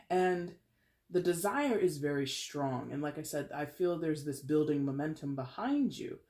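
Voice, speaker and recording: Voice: medium pitch, a little mellow. A tarot reader with a head cold, congested and with a dry throat, chatting casually to camera with rambling self-corrections. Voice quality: dry throat, clears it often.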